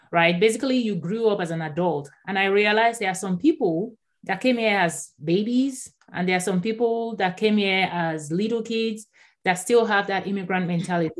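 A Nigerian-born woman in her thirties whose first language is Yoruba, speaking as an author and guest in a conversational interview, animated and quick to laugh. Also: lowish voice. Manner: animated, laughs often, repeats words when starting a thought.

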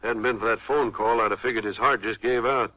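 An actor playing a folksy, plain-spoken county sheriff in a 1950s radio western, with drawn-out country phrasing. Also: male voice, fairly low pitch, fairly even intonation, close to an everyday voice. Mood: unhurried, grave. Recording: dull vintage 1950s radio recording.

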